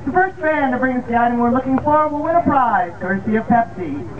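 A woman's voice: on the high side, expressive, fast, a crowd in the background. Distant, tinny American woman stadium announcer. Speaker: stadium public address announcer at baseball games. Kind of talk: scripted PA announcing of lineups, promotions and game updates. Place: outdoor baseball field, heard over the PA system. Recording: tinny distant ballpark loudspeaker, outdoor noise.

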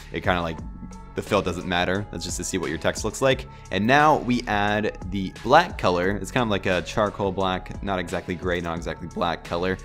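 Low-voiced man with a stuffy cold. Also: fairly bright tone. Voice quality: nasal, voice cracking. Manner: rambling, filler-heavy, laid-back. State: sick with a cold, sore throat. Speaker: tutorial host. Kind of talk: casual screen-recorded software tutorial.

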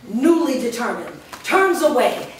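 A woman's voice: fairly high pitch, unhurried, a little hiss or hum. Echoey woman reading stage directions. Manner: steady, clear narration. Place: large studio room with actors in a circle. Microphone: far camera. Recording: roomy echo from far off.

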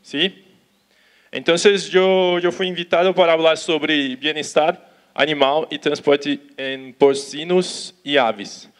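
Slow Brazilian man in halting Spanish. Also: a little high, fairly even intonation. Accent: Brazilian Portuguese. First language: Portuguese. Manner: slow, careful, with restarts and Portuguese words. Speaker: conference speaker. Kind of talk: conference talk in halting Spanish. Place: large auditorium stage. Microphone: handheld mic.

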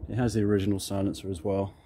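A man's voice: low voice, level tone, racing, noisy background. A man casually talking through his motorbikes in a plain, matter-of-fact way, filming outdoors on a driveway. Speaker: motorbike owner showing his collection. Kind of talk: casual walkaround narration.

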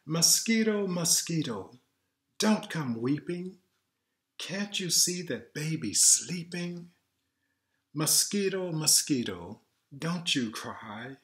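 A man reading aloud as a mother who hushes noisy animals, in a sing-song rhyming chant. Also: fairly high pitch, animated, slow pace, pitched above the performer's own voice. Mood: soothing chant. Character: gentle mother hushing animals near her baby.

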